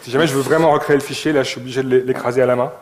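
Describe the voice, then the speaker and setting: Male conference speaker, medium pitch, brisk pace, colloquial with hesitant repetitions. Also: steady tone. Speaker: conference speaker, tech company employee. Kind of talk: informal co-presented tech talk. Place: live talk before an audience.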